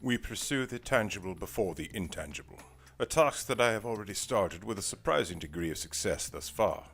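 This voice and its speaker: A man's voice: fairly low pitch, expressive, brisk, creaky, a bit bright, husky, heavily acted. A man playing a formal, dry, weary older private investigator in an audio drama, speaking in precise, wordy sentences. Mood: measured, businesslike. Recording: music behind the voice.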